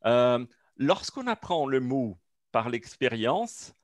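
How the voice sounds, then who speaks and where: A man giving an online seminar in French, which is not his first language. He talks slowly and hesitantly, stopping often to restart his phrases. The sound is dry and close, as if picked up by a computer mic on a video call. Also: mid-range voice, big pitch swings, no room sound. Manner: hesitant, many fillers and restarts. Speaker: seminar speaker. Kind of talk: academic talk over video call.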